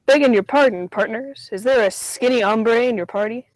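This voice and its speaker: A man's voice: very high-pitched, normal speed, dry recording, poor audio. A man playing a folksy, teasing backcountry game warden in a radio comedy sketch, with wisecracking cowboy talk.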